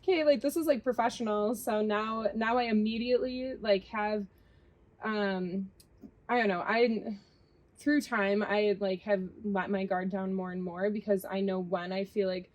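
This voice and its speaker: Chatty woman, halting and thoughtful. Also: mid-range voice, fairly even intonation, a little mellow. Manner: chatty, hesitant, many fillers. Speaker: restaurant assistant general manager. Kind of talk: casual chat.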